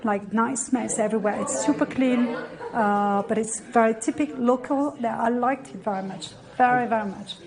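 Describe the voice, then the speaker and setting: A woman's voice: fairly high pitch, moderate pace, crowd noise. A woman tourist enthusiastically praising a place in an interview. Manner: enthusiastic, emphatic.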